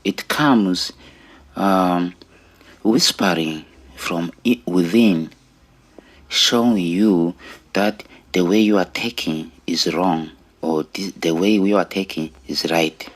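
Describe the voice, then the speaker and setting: Man, forties, Rwandan accent, deep and unhurried, earnest testimony delivery. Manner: earnest, deliberate, with pauses between short phrases. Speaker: storyteller sharing own life story. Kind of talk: personal testimony.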